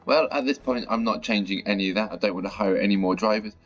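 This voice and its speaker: A man with a low, croaky voice from a sore throat talks through a game, chatty and now and then mildly exasperated. The audio is a bit dull and lo-fi, with faint music underneath. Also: fast. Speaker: gaming channel host. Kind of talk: casual gameplay commentary.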